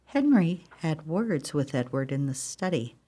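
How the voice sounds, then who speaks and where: Actress for stern elder-style spinster sister, gothic radio drama, deep female voice, solemn formal diction. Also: very animated, unhurried, warm tone, breathy edge, heavily acted. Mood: indignant. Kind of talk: old-fashioned radio play acting.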